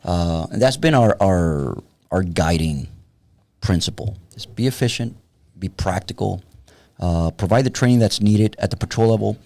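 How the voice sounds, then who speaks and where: Wry low-voiced man in his fifties. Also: normal speed, vocal fry, dark tone. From San Antonio, Texas. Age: 50s. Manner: wry, self-deprecating, plain-spoken. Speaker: guest, tactical police trainer. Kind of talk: casual podcast conversation.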